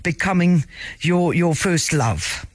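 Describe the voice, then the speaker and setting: Man, radio host, high pitch, very lively intonation, enthusiastic, dry close sound. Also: takes it slowly, no room sound. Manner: enthusiastic and effusive, frequent affirmations. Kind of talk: radio interview hosting.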